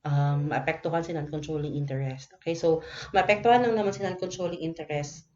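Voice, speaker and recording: A woman's voice: deep voice, fast, warm tone. A woman with a Filipino accent teaching as a lecturer, explaining worked problems step by step and often checking in with short tag words. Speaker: accounting lecturer. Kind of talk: recorded lecture working through problems. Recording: dull lo-fi voice recording.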